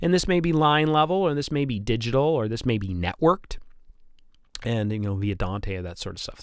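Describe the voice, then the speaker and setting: A man's voice: medium pitch, fairly bright tone, clear, resonant tone, dry recording, studio quality. A man teaching as an instructor, explaining technical material in an informal, conversational lecture with occasional stammered repeats. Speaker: instructor, audio engineer. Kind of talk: informal lecture over slides.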